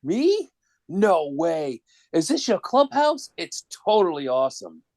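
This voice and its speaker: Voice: medium pitch, very animated, slow pace, a little mellow, no room sound, clean studio sound. An adult performer playing a cheeky, slangy young boy in a dramatic comic-book table read. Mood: eager, awed. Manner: slangy, wide-eyed, childlike. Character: cheeky, excitable young boy, hypnotized into robbery.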